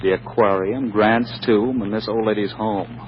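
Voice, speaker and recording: An actor playing a brash, impatient, needling boyfriend with a breezy, sardonic, slangy manner in 1940s radio comedy style. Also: male voice, fairly low pitch, fairly even intonation, normal speed, slight background noise, natural voice. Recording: muffled vintage radio recording.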